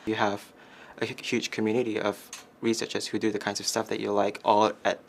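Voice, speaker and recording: Gravelly-voiced young man from Malaysia. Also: fairly low pitch, flat delivery, brisk, slight background noise. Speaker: master's student. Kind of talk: interview testimonial.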